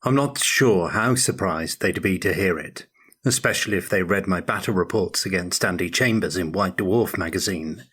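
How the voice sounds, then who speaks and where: An older man giving measured, articulate answers as an interview guest in a prepared Q&A. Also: low voice, a bit bright, slightly rough. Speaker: interview guest, tabletop game designer.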